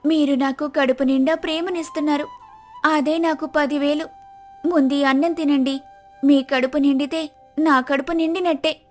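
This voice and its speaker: Woman voicing a high-pitched, pleading, devoted wife. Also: steady tone, moderate pace, darkish tone, soft background music, natural voice. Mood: loving. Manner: pleading, earnest. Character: devoted, pleading young village wife, gentle and determined. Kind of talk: acted moral-story dialogue.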